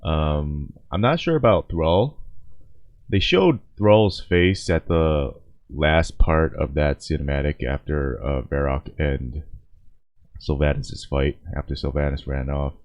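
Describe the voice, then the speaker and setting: A man giving casual, off-the-cuff reaction commentary, halting and rambling as he thinks aloud. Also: extremely low pitch, a little mellow. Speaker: video creator reacting to a game cinematic. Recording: dull, roomy, echoey home recording.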